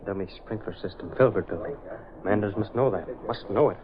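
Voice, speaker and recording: A man's voice: fairly low pitch, brisk, very noisy, natural voice. A man plays a furtive little office informer in an old radio serial. He speaks anxiously and hesitantly, with servile politeness, through a dull, narrow-band broadcast. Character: nervous, furtive, timid male office informer. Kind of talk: old-time radio drama acting. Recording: muffled vintage radio recording.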